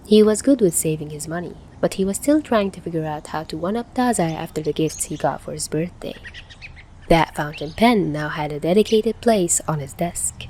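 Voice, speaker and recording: A woman's voice: low voice, normal speed, warm tone, slight background noise. A woman reading scripted fan fiction aloud as a narrator. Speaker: podfic reader.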